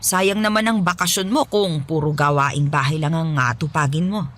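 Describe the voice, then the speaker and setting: A woman's voice: low voice, moderate pace, fairly bright tone. A woman acting as a doting but strict old grandmother, fussing and scolding in a radio drama. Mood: coaxing.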